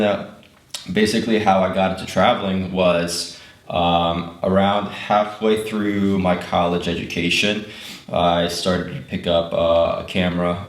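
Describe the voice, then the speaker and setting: A man in his twenties, from Ukraine with Russian as his first language, talking casually as an interview guest in a relaxed, rambling way full of fillers and restarts. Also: deep voice, reverberant. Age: 20s. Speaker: guest, travel YouTuber.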